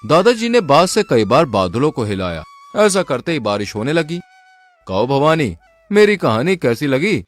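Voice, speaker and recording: A man's voice: medium pitch, big pitch swings, normal speed, soft background music, lightly acted. A man voicing a boastful, scheming village swindler in a Hindi folk tale, in a theatrical manner.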